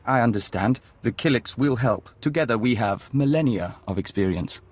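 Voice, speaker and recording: A man playing a serene, courteous hive-mind diplomat in a video game, speaking in a calm, formal, oddly detached way. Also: mid-range voice, moderate pace, background noise, natural voice. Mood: serene, polite.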